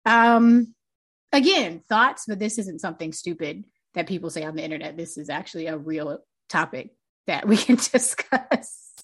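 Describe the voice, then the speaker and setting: A woman's voice: lively intonation, normal speed, husky. Chatty woman podcast host, close mic. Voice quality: pitchy and a little grating, by her own account. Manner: chatty, loose and profane, laughs often. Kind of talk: casual podcast chat. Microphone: close desk mic.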